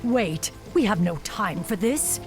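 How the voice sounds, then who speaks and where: A woman's voice: medium pitch, animated, slow, music in the background. An actress playing a stern, impatient elven warrior woman in a fantasy video game, speaking formally and with command. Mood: impatient, guarded. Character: stern, impatient night elf warrior woman hunting a demon. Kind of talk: dramatic video game cutscene acting.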